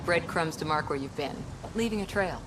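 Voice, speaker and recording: A woman's voice: deep voice, fairly quick, noisy background, lightly acted. An actress playing a wry, inquisitive female expedition crewmate in a video game. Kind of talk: scripted video game voice acting.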